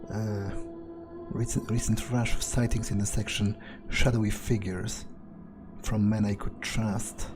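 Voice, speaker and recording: A man giving in-character gameplay commentary, thinking aloud in a musing, hesitant way with pauses mid-phrase. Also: fairly low pitch. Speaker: gamer doing an in-character playthrough. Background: game music.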